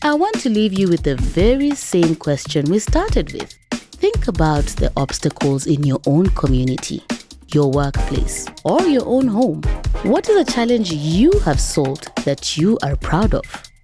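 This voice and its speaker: A woman with a fairly low voice narrates as host of a scripted documentary. Her intonation swings widely and expressively, and music plays clearly beneath her words. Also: sing-song, a little slow. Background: background music.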